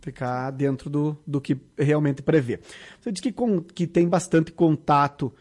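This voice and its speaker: Gaúcho-interviewer, a calm questioner with a mid-pitched voice. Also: a little slow.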